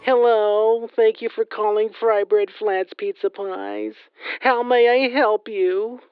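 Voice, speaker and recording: A woman playing a slow, chirpy pizza clerk. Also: medium pitch, expressive, clear, resonant tone, no room sound, narrow, tinny audio. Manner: chirpy upselling, flustered. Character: young female pizza clerk, new and eager. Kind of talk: children's comedy skit.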